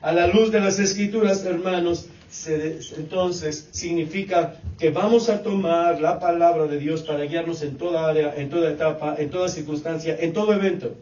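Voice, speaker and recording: Preacher, man in his late 40s, high voice, repetitive cadence; distant, dull recording. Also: normal speed, hardly any pauses, darkish tone, reverberant. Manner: repetitive preaching cadence, addresses congregation as brothers. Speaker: pastor. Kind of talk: sermon.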